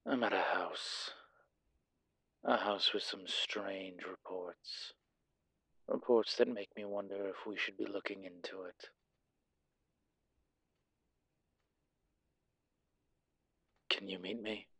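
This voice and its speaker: An actor playing a suave, wry incubus demon in an intimate audio roleplay, speaking in a teasing, polished, deliberate manner with a husky, breathy voice. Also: male voice, deep voice, fairly even intonation, pushed and bright, dry recording, exaggerated voice, rough recording. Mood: wry, hushed.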